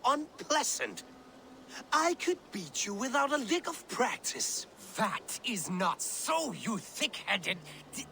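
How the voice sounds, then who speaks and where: A man's voice: a little high, pushed and bright, a little hiss or hum, heavily acted. A man playing a pompous, bickering Viking poet in a video game, precise and theatrical.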